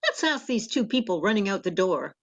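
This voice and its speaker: A woman's voice: mid-range voice, big pitch swings, fairly quick. A woman playing a dry, sardonic detective sidekick in a comic radio play, on a slightly dull recording. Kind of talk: comic old-time radio play acting.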